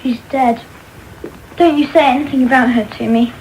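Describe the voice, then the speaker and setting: A young performer playing a small, sad, well-spoken orphan boy, meek yet quietly defiant in a radio play. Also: sits high, fairly even intonation, normal speed. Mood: hurt, defiant. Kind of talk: British radio drama acting. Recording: noisy, crackly medium-wave radio tape.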